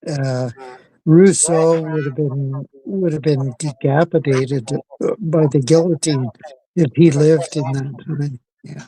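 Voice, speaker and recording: A man's voice: a little high, steady tone, slow pace, mellow, rough recording. A man in casual conversation giving offhand explanations in a rambling, hesitant, agreeable way.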